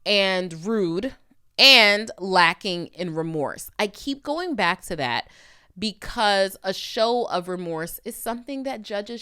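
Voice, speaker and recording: A woman's voice: mid-range voice, pushed and bright, clean tone. A woman giving conversational legal commentary, speaking directly to the viewer in an emphatic, opinionated manner. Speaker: legal commentator hosting a video channel.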